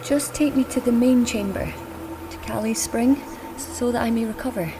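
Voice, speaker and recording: An actress playing a cunning, frail-seeming woman in an audio drama, formal and deliberate, often dropping into breathy whispers over a noisy ambient soundscape. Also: on the high side, natural voice. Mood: coaxing.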